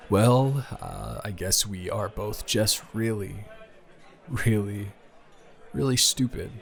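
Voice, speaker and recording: Man acting a slow, gravelly smitten young lover. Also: fairly low pitch. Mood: hopeful, gentle. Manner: hesitant, halting, earnest delivery. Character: smitten young man confessing love to his casual lover. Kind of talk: improvised romantic audio roleplay.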